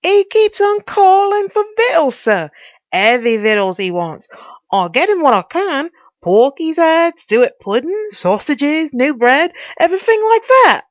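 A woman's voice: high-pitched, big pitch swings, unhurried, no echo, muffled recording, voice pushed up. A woman voicing a worried, deferential female servant in a storybook, gossiping confidentially in colloquial phrases. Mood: worried, aggrieved.

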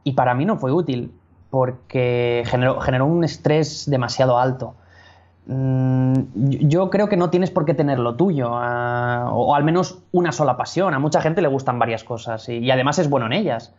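A man from Spain with a Spanish accent, a public speaking coach talking in a relaxed interview, thinking aloud with frequent restarts and easy laughs. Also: mid-range voice, moderate pace. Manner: thoughtful, many restarts, laughs easily. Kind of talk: casual interview chat. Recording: dull lo-fi sound.

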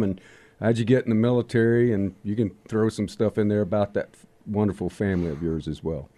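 A man hosting a casual interview, relaxed and quick to laugh, with halting fillers. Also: on the low side. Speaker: podcast host.

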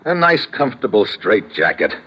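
Hard-boiled 1950s radio hero. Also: male voice, on the low side, very animated, moderate pace, a little hiss or hum, poor audio. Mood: weary, wry. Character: tough, wry American adventurer hero narrating his own peril. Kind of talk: 1950s radio thriller acting, first-person narration. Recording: old radio broadcast, loud music behind.

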